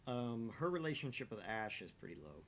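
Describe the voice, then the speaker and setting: Casual man streamer with faint rumble. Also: very animated, brisk. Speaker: co-host of a gaming livestream. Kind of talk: casual livestream chat.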